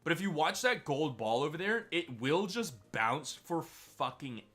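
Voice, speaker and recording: Stuffy streamer dude, bright tone, gets hyped over wins, faint music behind. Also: male voice, a little high, unhurried. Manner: chatty, excitable over wins. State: stuffy, slightly sick. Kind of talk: gameplay commentary.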